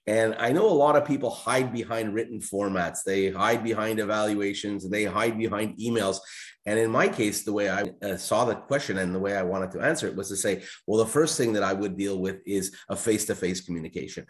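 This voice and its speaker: Male panelist, lower pitch, direct and firm, emphatic repetition. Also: a little fast. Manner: firm, repeats phrases for emphasis. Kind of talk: casual panel discussion.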